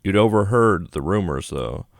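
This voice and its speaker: Very deep-voiced man narrating a game. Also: lively intonation, normal speed, gravelly, no room sound, clean studio sound. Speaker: gaming video host. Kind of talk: reading visual novel narration aloud.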